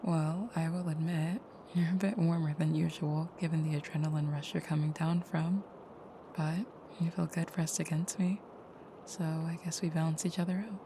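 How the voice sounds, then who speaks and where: A woman playing an intimate vampire girlfriend in an audio roleplay, speaking softly and often dropping into a whisper. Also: low voice, level tone, normal speed, slight background noise. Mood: tender, soothing. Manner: soft, breathy murmuring, often whispered, soothing. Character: female vampire girlfriend, protective and tender, menacing in nightmare.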